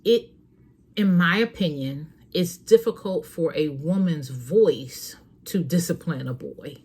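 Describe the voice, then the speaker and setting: A woman's voice: low voice, expressive, slow pace, darkish tone, slightly rough. A woman hosting a talk show, giving earnest, frank parenting advice straight to her listeners in a casual, preachy way. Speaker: host.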